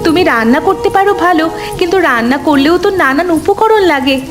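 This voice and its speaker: A woman's voice: extremely high pitch, expressive, fairly quick. A woman playing an aggrieved, questioning middle daughter-in-law in a cartoon drama, with a complaining manner. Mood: worried, questioning. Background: music.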